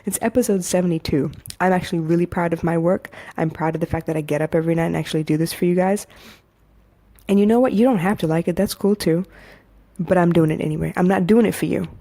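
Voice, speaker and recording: A young woman hosts her own podcast as a life coach, talking straight to listeners in a frank, swearing motivational monologue. Her voice is soothing and mellow, sitting a little low. She sounds like she is chatting casually rather than reading a script. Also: fast.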